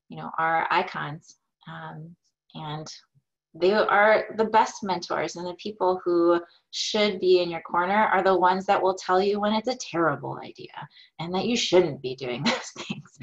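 An upbeat woman in her forties answers questions over a webcam from a home office. Her voice sits a little low. The call audio is dull and lo-fi, and she talks warmly with the odd "uh" between thoughts. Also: vocal fry. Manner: upbeat, thoughtful, with fillers and laughs. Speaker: small business owner, keynote guest. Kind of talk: conversational Q&A answers. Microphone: video call, webcam.

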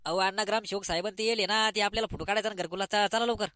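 A man playing an eager, hurried poor villager in a comic cartoon, with a rural Maharashtrian accent and urgent, colloquial speech. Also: high-pitched, fairly even intonation, pushed and bright, smooth. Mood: urgent, excited. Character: eager, hurried poor villager awaiting his housing grant.

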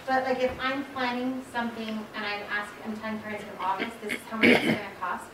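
An American woman speaking informally and haltingly in a meeting discussion, often saying "like". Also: a little high, little pitch movement, crowd noise. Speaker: meeting participant. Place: indoor public board meeting room. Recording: very echoey room, distant, noisy.

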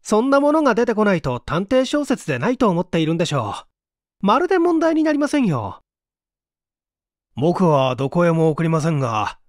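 A man voicing an eager, eccentric young mystery fanatic in polite, excitable audiobook dialogue. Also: high voice, fairly quick, no echo, studio quality, full character voice. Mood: excited. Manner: polite, excitable, animated speech.

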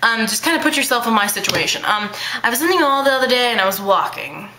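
A teenage girl vlogging to her webcam in her bedroom, talking fast and hyper in a childlike baby voice, full of fillers. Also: a little high, animated, noisy background. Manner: bubbly, hyper, chatty with many fillers. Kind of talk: casual chat to camera. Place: small bedroom indoors. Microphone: webcam at arm's length.

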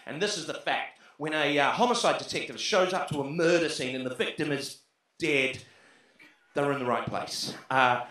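Brash New Zealand comedian in echoey club. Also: male voice, on the high side. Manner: brash, sweary, shouts punchlines. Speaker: stand-up comedian. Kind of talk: stand-up comedy set. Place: comedy club stage, brick wall. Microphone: handheld mic.

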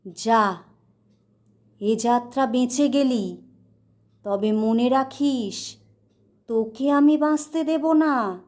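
Woman voicing a slow, menacing male ghost. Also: fairly high pitch, darkish tone. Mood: vengeful threat. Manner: slow, drawn-out threats.

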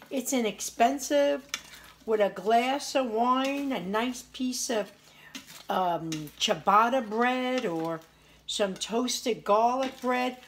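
A woman's voice: fairly high pitch, a little hiss or hum. Chatty woman cooking in her home kitchen. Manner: chatty, homey, tasting and praising the food as she goes. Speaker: home cooking show host. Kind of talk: casual cooking demonstration. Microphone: camera on the counter at arm's length.

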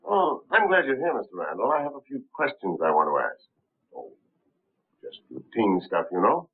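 Husky, animated 1930s police captain. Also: male voice, medium pitch, normal speed. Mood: probing. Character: brusque, inquisitive male police captain. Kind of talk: 1930s film acting. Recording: scratchy, tinny 1930s film soundtrack.

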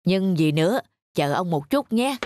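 A woman's voice: fairly low pitch, big pitch swings, unhurried, darkish tone, a little hiss or hum. A woman voicing a kindly, helpful elderly grandfather in a cartoon story. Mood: reassuring.

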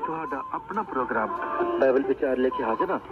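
A man's voice: medium pitch, animated, a little fast, loud music behind the voice. Man on muffled shortwave radio. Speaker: religious radio program host. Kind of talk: scripted radio introduction. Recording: dull shortwave radio broadcast.

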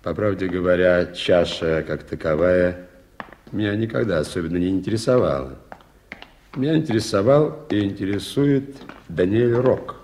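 An actor playing a talkative, deferential journalist in an early 1980s Soviet radio play. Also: male voice, sits low, animated, moderate pace, darkish tone, slight background noise. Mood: emphatic, confiding.

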